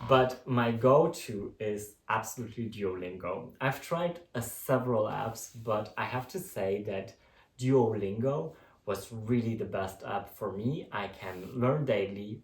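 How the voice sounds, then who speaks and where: A man whose first language is Polish, chatting casually to camera as an expat vlogger with many restarts and fillers. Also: lowish voice. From Poland. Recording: roomy sound from a distance.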